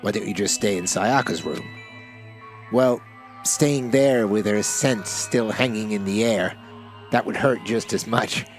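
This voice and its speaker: A man's voice: fairly low pitch, measured pace, soft background music, voice pushed down, natural voice. Man reading as a somber, brooding teenage boy. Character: brooding, earnest teenage boy, game protagonist. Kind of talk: visual novel read-aloud.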